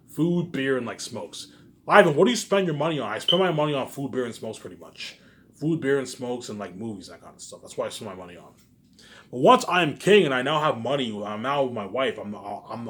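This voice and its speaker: A man talks casually to his viewers at an ordinary pitch, with faint noise behind him. He rambles and repeats himself, sounding boastful and sure of himself, jumping from loud, excited bursts to low muttered asides. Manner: repetitive, with emphatic catchphrases; boastful, self-assured. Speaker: vlogger.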